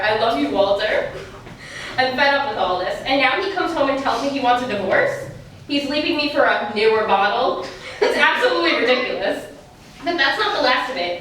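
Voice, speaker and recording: Distant echoey mic, actress as fed-up wife on a talk show, sarcastic, voice swooping high. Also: female voice, a bit bright. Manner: exasperated, sarcastic, dramatic. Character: fed-up scorned wife and mother, indignant talk-show guest. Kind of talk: theatrical comic monologue. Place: stage, live performance. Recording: very echoey stage, distant mic, faint noise.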